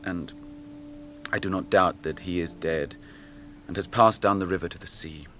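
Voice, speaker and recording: An actor playing a grave, noble young captain grieving his brother, in measured, archaic speech for a fantasy radio drama. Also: deep voice, a bit bright, soft background music. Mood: grieving, brooding.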